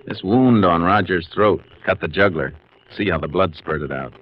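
An American actor with a Western accent playing a calm, methodical Texas Ranger in a 1950s radio western, clipped and matter-of-fact. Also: male voice, sits low, moderate pace. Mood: businesslike, probing. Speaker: lead actor in a radio drama. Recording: muffled, noisy 1950s radio recording.

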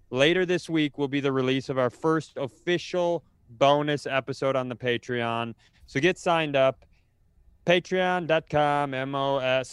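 An American man co-hosting a comedy podcast, chatting casually in a grumpy, deadpan, profane way. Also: a little high, clean tone, dry recording. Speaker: podcast co-host.

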